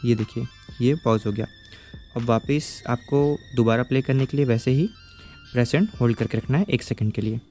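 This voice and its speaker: Mellow-voiced man demoing a gadget. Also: mid-range voice, normal speed, faint music behind. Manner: explains patiently, checks in with the viewer. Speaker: tech product reviewer. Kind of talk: casual step-by-step product demo.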